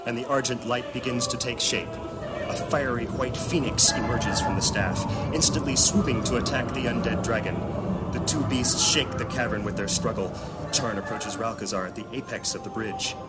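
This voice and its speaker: A man's voice: mid-range voice, moderate pace, loud music behind the voice, natural voice. A man performing as a grave storyteller, giving dramatic scripted narration of a fantasy audio drama.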